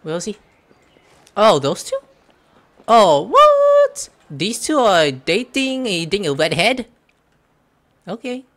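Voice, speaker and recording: A man's voice: sits high, wildly swooping pitch, takes it slowly, long pauses, a bit bright. A young man giving casual gameplay commentary as a streamer in a room. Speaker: gaming streamer. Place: indoor room, webcam setup.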